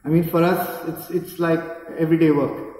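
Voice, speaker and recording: A man's voice: on the high side, little pitch movement, normal speed, darkish tone. Indian man speaking in a very echoey hall. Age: middle-aged. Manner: rambling, anecdotal. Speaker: guest speaker, neurosurgeon. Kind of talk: informal public speech with anecdotes. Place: indoor event hall at a podium. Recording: echoey hall, distant camera microphone.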